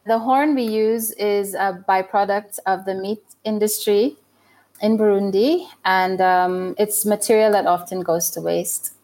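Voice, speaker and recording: A woman's voice: mid-range voice, fairly even intonation, unhurried, a little mellow. A middle-aged woman from Guyana giving thoughtful, explanatory interview answers in a conversational style.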